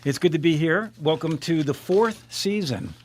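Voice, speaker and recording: A man speaking conversationally as co-host of a bantering comedy talk show, playful and deadpan. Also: a little high, moderate pace.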